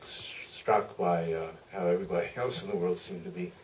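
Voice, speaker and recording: A man telling anecdotes as a film director at a Q&A, deliberate and halting, pausing mid-sentence. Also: low voice, fairly even intonation. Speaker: film director, panel guest. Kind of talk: anecdotal Q&A answer. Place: theater stage at a public Q&A. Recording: distant mic, faint room noise.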